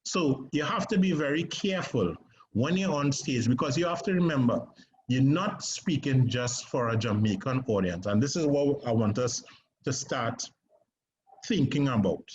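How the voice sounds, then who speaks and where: A man with a Trinidadian accent leads a stage acting lesson in a lively, animated way, checking in with "right?" as he goes. His voice sits at a middling pitch. The recording is a little dull, with a faint hiss behind it. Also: moderate pace. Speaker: acting teacher.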